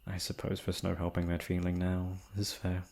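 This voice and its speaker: A man's voice: sits low, monotone, normal speed, gravelly, a little mellow. A man acting a shy, proud, wistful noble prince in a romantic fantasy roleplay, with hesitant, formal, sighing speech. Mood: sentimental.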